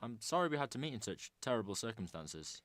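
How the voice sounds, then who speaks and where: A man's voice: mid-range voice, sing-song, quick pace, a bit bright, no echo, clean studio sound. A man playing a grave, courteous police chief in an amateur radio drama, speaking formally.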